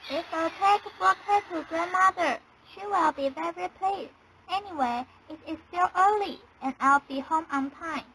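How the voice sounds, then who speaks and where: A woman's voice: very high-pitched, normal speed, background noise, voice pushed up. A woman voicing an innocent, polite little girl in a storybook radio play, with a sweet sing-song delivery. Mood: cheerful.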